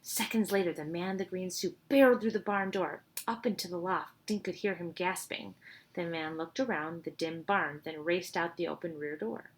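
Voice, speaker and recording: A woman's voice: on the low side, moderate pace. A woman reading a children's storybook aloud expressively, with informal asides to her listeners.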